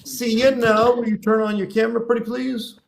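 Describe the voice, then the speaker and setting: An energetic man hosting a live online dating show. His voice sits high for a man, and he talks in an upbeat, encouraging, chatty way as he greets viewers and interviews callers. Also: a little fast, vocal fry, noisy background. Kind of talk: live-stream chat, reading viewer comments.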